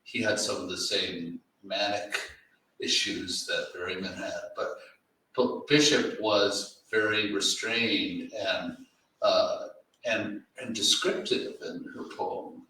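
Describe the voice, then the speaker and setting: A man's voice: low-pitched, takes it slowly, pushed and bright. A man presenting at a literary event, giving informal commentary and searching for words as he goes. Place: indoor library event room. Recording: very echoey, distant mic, faint noise.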